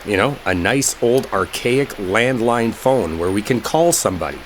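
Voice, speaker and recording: Exasperated low-voiced man acted out, swinging pitch. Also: expressive, moderate pace, a little hiss or hum, lightly acted. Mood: insistent, impatient. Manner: impatient, sardonic, conversational, with mild swearing. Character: exasperated, sarcastic, stubborn man stranded in a rainstorm. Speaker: audio roleplay performer. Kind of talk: dramatic first-person roleplay audio. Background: rain storm ambience.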